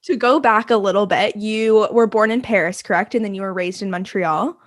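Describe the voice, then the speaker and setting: A woman hosting a podcast interview, enthusiastic and affirming, reacting warmly to her guest's answers. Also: medium pitch, brisk. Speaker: podcast host. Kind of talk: casual conversational interview.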